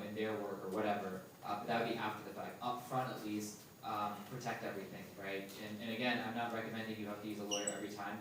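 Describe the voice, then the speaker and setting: Male panelist, steady and methodical, flat delivery, picked up from afar in a noisy, echoing hall. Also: lowish voice. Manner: methodical, often tags "right?". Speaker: panelist answering audience questions. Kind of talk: live panel Q&A. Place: large indoor event space. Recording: echoey hall from far off, noisy.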